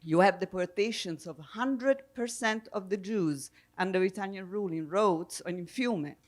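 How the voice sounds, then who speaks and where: A woman speaking spontaneously on a history panel, measured and precise as she searches for her words. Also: medium pitch. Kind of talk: spontaneous explanatory panel talk. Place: live public event with audience questions.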